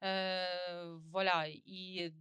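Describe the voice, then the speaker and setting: A woman's voice: fairly low pitch, level tone, extremely slow, a bit bright, clean tone, no room sound, studio quality. A woman from Ukraine, Russian her first language, speaking at a round-table discussion, hesitant with many fillers and restarts. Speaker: panelist. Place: conference room.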